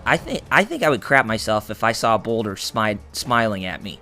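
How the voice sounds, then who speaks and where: A man with a hoarse, raspy voice hosting a let's play, rambling through his gameplay commentary with lots of fillers, restarts and small jokes. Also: lowish voice, sing-song, a little fast, sharp tone, faint music behind.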